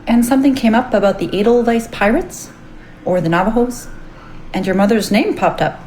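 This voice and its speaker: A woman's voice: fairly high pitch, expressive, a little fast, mellow, lightly acted, poor audio. A woman playing a driven, weary middle-aged scientist and mother in naturalistic audio drama, clipped and world-weary. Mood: tentative. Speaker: research scientist. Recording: audible background ambient noise.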